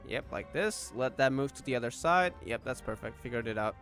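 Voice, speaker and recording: A man with an average-pitched voice commentates a game casually. He muses to himself in a relaxed, self-deprecating manner while faint music plays behind him. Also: a little fast. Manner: thinks aloud, low-key, self-deprecating. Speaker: gaming streamer.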